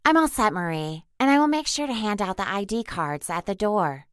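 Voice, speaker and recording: A woman's voice: mid-range voice, lively intonation, normal speed, clear, resonant tone, no echo, clean studio sound, close to an everyday voice. A woman playing an eager, helpful colleague in an audio drama.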